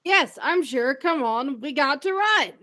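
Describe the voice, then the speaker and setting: A woman's voice: high-pitched, lively intonation, unhurried, sharp tone, exaggerated voice. A woman voicing an impatient teenage wizard boy in an amateur audio drama, urgent and exasperated.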